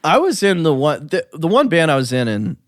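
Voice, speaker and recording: A man's voice: fairly high pitch, sing-song, a little fast, dry recording, studio quality. A young man guesting on a podcast, talking in a loose, rambling way with lots of likes and ums. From United States. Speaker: guest musician. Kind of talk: casual interview chat.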